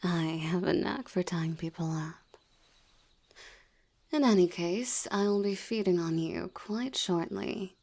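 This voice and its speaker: A woman's voice: on the low side, takes it slowly, vocal fry, a little mellow, heavily acted. A woman voicing a seductive, teasing female vampire in an ASMR audio roleplay, often dropping into a whisper.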